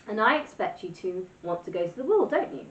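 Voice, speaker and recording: A woman's voice: medium pitch, very animated, a little fast, a bit bright. A woman voicing a prim, stern fairy godmother who scolds in a storybook reading. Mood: brisk. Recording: distant, roomy, dull lo-fi sound.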